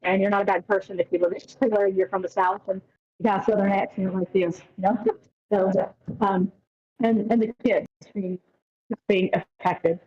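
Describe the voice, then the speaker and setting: A woman's voice: mid-range voice, fairly even intonation, normal speed, muffled recording. A woman giving casual spoken feedback as a participant in an online group discussion.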